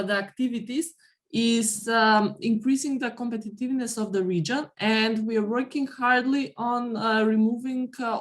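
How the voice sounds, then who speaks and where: A woman giving formal interview answers as an organization's representative, measured and pausing within phrases. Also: a little high. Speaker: guest representing a business chamber forum.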